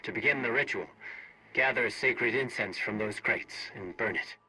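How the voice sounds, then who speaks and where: A man playing a solemn alien mystic in a video game, guiding an outsider with formal, measured speech. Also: mid-range voice, sharp tone, husky, full character voice, rough recording. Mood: solemn, instructive.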